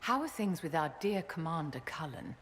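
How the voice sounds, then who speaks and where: A woman's voice: low voice, very animated, normal speed, fairly bright tone, slight background noise. An actress playing a pampered, haughty noblewoman who speaks in an arch, condescending drawl. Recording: reverberant game audio with long echo.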